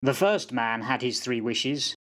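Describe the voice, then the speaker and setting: A man's voice: medium pitch, animated, unhurried, fairly bright tone. Man acting a solemn old soldier with forebodings. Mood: grim, rueful. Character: grave old soldier warning of a cursed charm. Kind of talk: radio play acting.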